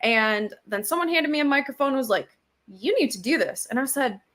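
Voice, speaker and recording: A woman with a high, bright, forceful voice, talking as a co-host on a wrestling stream. She sounds upbeat and self-assured and speaks in a motivational way. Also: animated, brisk. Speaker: ring announcer and co-host. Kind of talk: casual livestream chat.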